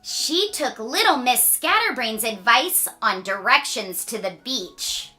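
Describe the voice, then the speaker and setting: Bright, slow, animated woman reading a storybook. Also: a little high, faint music behind. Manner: playful asides, dramatic drawn-out emphasis. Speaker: children's story reader. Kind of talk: animated read-aloud storytelling.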